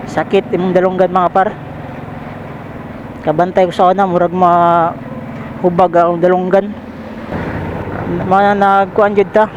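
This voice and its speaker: A man's voice: high voice, dull audio. A Filipino man with a Bisaya accent chatting casually and informally to viewers as he rides, recorded on a helmet intercom. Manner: informal, repeats phrases, addresses viewers as pals. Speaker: motorcycle delivery rider vlogger. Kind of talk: casual vlog chat while riding. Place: outdoors riding a motorcycle in traffic. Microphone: helmet intercom microphone. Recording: helmet intercom with loud traffic noise.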